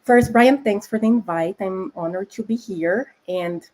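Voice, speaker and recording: A woman from Brazil with a Brazilian Portuguese accent, a food scientist guest explaining regulations in a clear, patient way. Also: mid-range voice, unhurried, a little mellow. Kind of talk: explanatory conversation.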